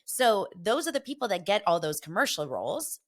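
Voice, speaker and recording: A woman's voice: mid-range voice, very animated. A middle-aged woman hosting her podcast in a casual, gossipy chat, a little congested from a head cold. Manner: chatty and gossipy, quick asides. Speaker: podcast host.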